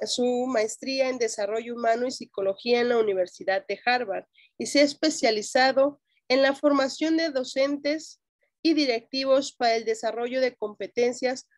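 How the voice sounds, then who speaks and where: Mexican lady hosting, formal polite intro, highish voice, dry close sound. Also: a little mellow, no room sound. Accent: Mexican Spanish. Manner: formal, courteous. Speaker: host introducing a guest speaker.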